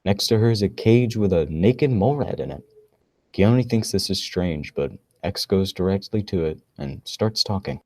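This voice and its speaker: A man's voice: on the low side, lively intonation, normal speed, warm tone, no room sound, clean studio sound. A young male narrator reading scripted radio play narration. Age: teenager.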